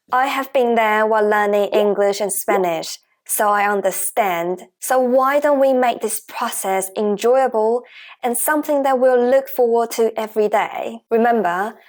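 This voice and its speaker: A woman who speaks Chinese natively, hosting her own channel, gives an encouraging, carefully paced scripted lesson in English on learning Mandarin. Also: fairly high pitch. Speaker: Mandarin teacher, channel host.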